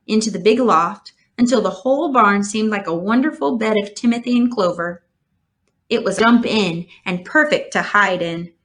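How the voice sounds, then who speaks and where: Female storybook reader, mid-pitched, measured and expressive, narrating a children's novel.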